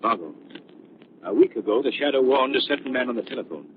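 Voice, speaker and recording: Tinny-sounding, expressive actor as gentleman detective. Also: mid-range voice, natural voice. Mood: grave. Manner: deliberate, dramatic, authoritative. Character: confident, astute gentleman detective, grave and deliberate. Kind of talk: 1930s radio drama acting. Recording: tinny, noisy 1930s radio recording.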